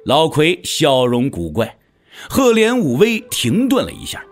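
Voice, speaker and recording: A man with a husky voice giving dramatic narration for a wuxia audio drama. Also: fairly high pitch, wildly swooping pitch, unhurried, soft background music.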